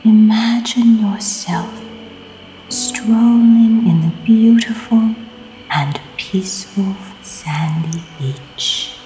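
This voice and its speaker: A woman narrating a scripted guided meditation in a soft, breathy, often near-whispered voice, calm and soothing, in short deliberate phrases. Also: medium pitch, low-quality recording. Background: music.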